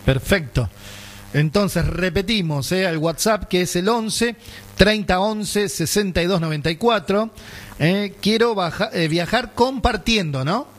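A man's voice: sits high, a little slow. A man with an Argentine accent hosting a radio show, chatting casually with many fillers and tag questions. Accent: Argentine Spanish. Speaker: radio host.

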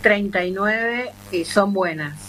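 An Argentine woman speaks at a middle pitch as a radio travel columnist, upbeat and selling her service. A faint hum sits under her voice. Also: slow pace, fairly bright tone. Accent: Argentine Spanish. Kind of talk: casual radio chat.

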